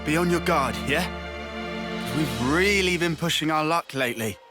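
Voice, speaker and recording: A man's voice: fairly high pitch, a little slow, natural voice. A man playing a wary, blunt young fighter in a video game. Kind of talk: video game cutscene acting. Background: music.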